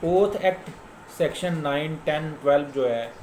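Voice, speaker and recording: A Pakistani man with a slightly high voice teaches in an echoey room with faint noise behind him. He explains in an informal, didactic way, like a lawyer walking listeners through the rules, and restates his main points. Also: measured pace, a little mellow. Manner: didactic, repeats key points for emphasis. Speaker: lawyer giving a legal lecture. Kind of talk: informal explanatory lecture citing case law.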